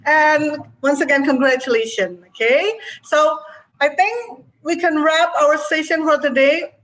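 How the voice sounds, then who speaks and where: A woman's voice: high-pitched, measured pace, fairly bright tone, poor audio. A woman from Indonesia hosting an online club meeting over a video call, cheerful and encouraging. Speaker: meeting host, Toastmaster of the day.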